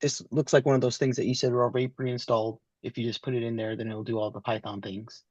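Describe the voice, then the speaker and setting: A man learning as a student, thinking aloud haltingly as he reads through material and checks his understanding. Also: mid-range voice, little pitch movement, a little mellow, no room sound, lo-fi sound. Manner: tentative, halting, asks to confirm understanding. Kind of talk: thinking aloud while reading through code.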